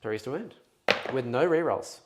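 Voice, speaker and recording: A man's voice: medium pitch, expressive, normal speed, background noise. A man playing a tabletop wargame on stream, talking through his rules and moves in a casual, chatty way. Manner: chatty, explains rules and moves aloud while measuring. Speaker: wargaming battle report player.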